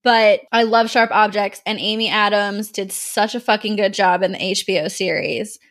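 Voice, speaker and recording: A woman's voice: medium pitch, fairly even intonation, moderate pace, fairly bright tone. A woman co-hosting a podcast, chatting casually and enthusiastically, swearing freely and laughing a lot. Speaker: podcast co-host. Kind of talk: casual chat.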